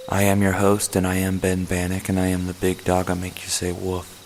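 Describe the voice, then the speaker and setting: A man hosts a comedy radio play in a deadpan, self-important ramble full of fillers. His voice sits fairly low. A long hall reverb lingers after his lines. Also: fairly quick, slight background noise. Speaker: podcast host.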